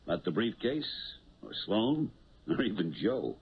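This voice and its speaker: Deep-voiced, genial police lieutenant. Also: male voice, big pitch swings, a little slow, husky, full character voice. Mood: genial, persuasive. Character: genial, shrewd police lieutenant recruiting a helper. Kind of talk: 1970s radio drama acting. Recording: tinny, hissy 1970s radio broadcast.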